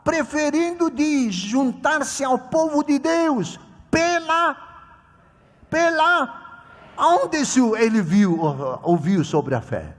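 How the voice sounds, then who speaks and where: A man preaching a sermon in Portuguese as a non-native speaker, emphatic, swinging between loud exclamations and quiet asides, often restarting words. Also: extremely high pitch, lively intonation. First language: not Portuguese. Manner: halting, repeats and corrects words mid-phrase. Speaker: preacher at a children's ministry conference. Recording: echoey hall reverb.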